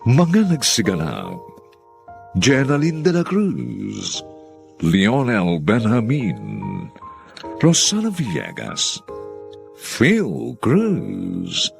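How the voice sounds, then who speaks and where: A man's voice: medium pitch, wildly swooping pitch, drawn-out words, gravelly, long pauses, soft background music. A man announcing a radio drama serial in a formal, dramatic narrating style.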